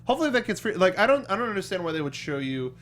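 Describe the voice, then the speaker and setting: Male co-host, voice a bit on the high side, casual geek-culture chat, enthusiastic and slangy. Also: big pitch swings, racing, a bit bright. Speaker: talk show co-host. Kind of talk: casual chat about games and anime films.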